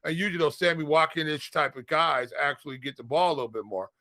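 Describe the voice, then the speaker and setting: Bright-voiced American man talking fantasy football. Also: fairly high pitch, dry recording. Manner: halting, repeats words and phrases. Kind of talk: casual sports chat.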